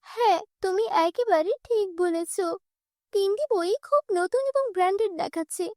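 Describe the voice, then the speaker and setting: A gentle, responsible elder sister in a cartoon fairy tale voiced by a woman. Her high, girlish voice is earnest and reassuring, recorded close and dry. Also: normal speed, no echo. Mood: thoughtful.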